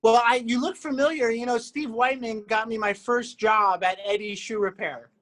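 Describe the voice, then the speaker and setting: A man's voice: extremely high pitch, normal speed. Guest, man, 50s, laid-back and joking, on a video call. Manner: relaxed, joking. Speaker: guest musician. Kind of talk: casual interview.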